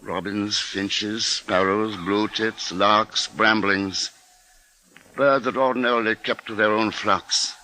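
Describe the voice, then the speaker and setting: An actor playing a shaken but dogged husband and father, narrating gravely and deliberately in a 1950s radio drama. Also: lowish voice, steady tone, sharp tone, low-quality recording. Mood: somber, slow. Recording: old 1950s radio broadcast recording. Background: dramatic music.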